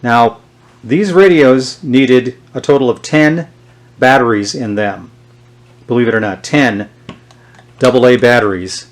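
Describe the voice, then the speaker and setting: A man presenting an unscripted show-and-tell demonstration in a halting, unhurried way. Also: medium pitch. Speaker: presenter showing vintage radio gear.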